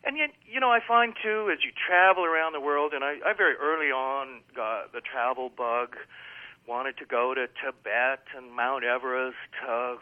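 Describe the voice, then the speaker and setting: A man's voice: medium pitch, normal speed. Older American man on a tinny phone line. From United States. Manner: animated, emphatic, rambling with fillers. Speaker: guest author. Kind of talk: phone interview.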